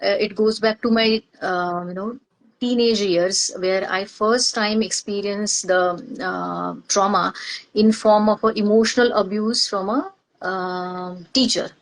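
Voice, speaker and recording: A middle-aged woman from Maharashtra, India, whose first language is Marathi, speaking as a guest and pausing often as she reflects on her past. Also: medium pitch, steady tone, low-quality recording. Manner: hesitant, many pauses and fillers. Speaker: guest, organizational psychologist. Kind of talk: reflective personal interview.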